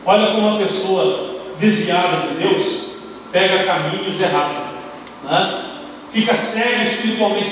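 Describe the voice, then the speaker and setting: An older man with a Brazilian Portuguese accent preaching a teaching sermon, talking conversationally with frequent tag questions and quick asides. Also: high voice, a bit bright, very echoey, in a large hall, far from the mic, slight background noise, low-quality recording. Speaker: pastor. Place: large bright church hall, indoors. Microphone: handheld mic, recorded from far camera.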